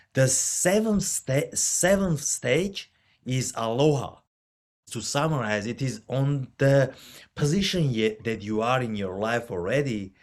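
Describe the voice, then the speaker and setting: A man who works as a financial advisor, a guest on a podcast, explaining things in an earnest, careful way. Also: mid-range voice. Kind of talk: conversational interview.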